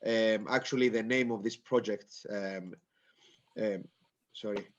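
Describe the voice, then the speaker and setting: A man's voice: on the low side, fairly even intonation. Stuffy-nosed man on a dull video call. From Cyprus. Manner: halting, many fillers and restarts, plain explaining. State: stuffy nose. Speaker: panel speaker. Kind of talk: conference panel presentation.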